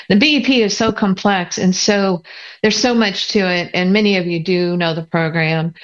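Woman moderating over dull call audio. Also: on the low side. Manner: chatty and encouraging. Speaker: meeting moderator. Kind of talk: conversational moderating.

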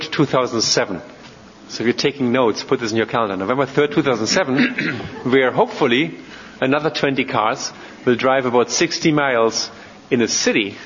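Man, German accent, halting informal technical lecture, long hall reverb, dull muffled sound. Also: medium pitch, fairly bright tone, slight background noise. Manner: hesitant, halting delivery with frequent ums and repeated words. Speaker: robotics researcher giving a talk. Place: large reverberant hall, speaking to an audience.

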